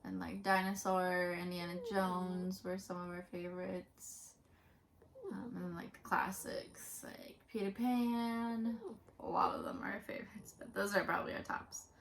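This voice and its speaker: Young woman early 20s, slow talker w creaky fry, casual q&a vlog, slight noise behind. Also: lowish voice, fairly even intonation. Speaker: co-host of a Disney fan vlog. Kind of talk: casual unscripted chat, reading out questions and answering.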